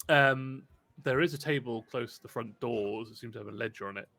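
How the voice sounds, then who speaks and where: A man acting as game master, narrating a tabletop game in a halting, conversational way. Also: mid-range voice, fairly even intonation, vocal fry, sharp tone.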